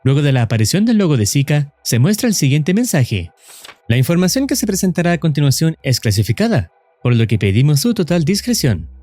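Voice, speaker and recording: Mellow-voiced man narrating scripted horror. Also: on the high side, very animated, fairly quick, faint music behind. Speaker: narrator of horror explainer videos.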